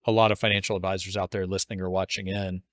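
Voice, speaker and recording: A man's voice: sits low, little pitch movement, racing, dry recording, clean studio sound. A man hosting a podcast interview in a casual, informal, jokey way, building up loosely to his questions. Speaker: podcast host interviewing an author.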